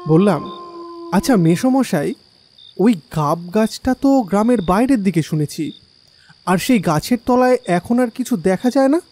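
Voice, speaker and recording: An actor playing a curious young visitor who narrates a scripted horror audio drama. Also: male voice, high voice, lively intonation, a little slow, darkish tone, in a large hall.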